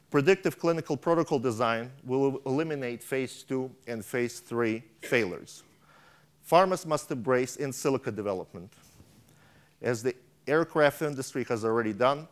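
Man reading a keynote speech on stage. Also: medium pitch. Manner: reads from a tablet with frequent restarts and stumbles. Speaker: keynote speaker. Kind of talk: reading a prepared conference speech. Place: large conference hall stage. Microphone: lapel mic.

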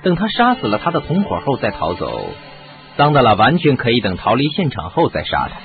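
An animated, shrewd radio-drama sleuth. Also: male voice, medium pitch, fairly quick, narrow, tinny audio. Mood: assured, explaining. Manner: assured, explanatory. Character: shrewd, confident male sleuth explaining a crime. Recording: band-limited audio with music.

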